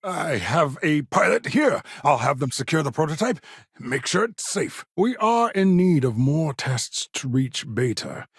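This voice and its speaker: A man's voice: a little high, big pitch swings, unhurried, slightly rough, no room sound, studio quality, full character voice. An actor playing an eccentric, condescending but eager male scientist in a space video game, speaking in a fussy, wry, pedantic way. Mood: eager, upbeat. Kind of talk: video game character dialogue.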